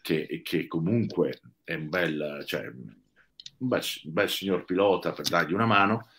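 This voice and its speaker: Deep-voiced older man on a video call. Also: unhurried, fairly bright tone. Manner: thoughtful, hesitant, lots of fillers and restarts. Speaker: guest technical expert. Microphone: video call webcam.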